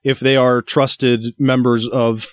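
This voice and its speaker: A man's voice: mid-range voice, level tone, slow pace, dry recording. A man with an American accent hosting a casual panel discussion on a podcast. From United States. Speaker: podcast host.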